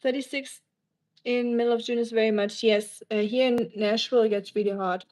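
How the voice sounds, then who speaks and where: Chatty German-accented woman streamer, higher voice. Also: fairly even intonation, darkish tone. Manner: rambling and chatty, quick asides, teasing. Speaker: livestreamer. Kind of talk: casual livestream chat answering viewers.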